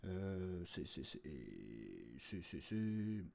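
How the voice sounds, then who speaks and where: A man's voice: sits low, sing-song, takes it slowly, vocal fry, dark tone. Casual, rambling man hosting a podcast. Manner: rambling, hesitant, often repeating words as he searches; jokey, crude slang. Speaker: creator and host of a fiction audio podcast. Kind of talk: informal unscripted update to listeners.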